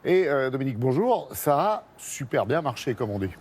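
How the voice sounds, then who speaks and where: A man's voice: medium pitch, big pitch swings, normal speed, a little mellow, slight background noise. Conversational French male morning TV host. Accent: French (France). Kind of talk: conversational studio presenting.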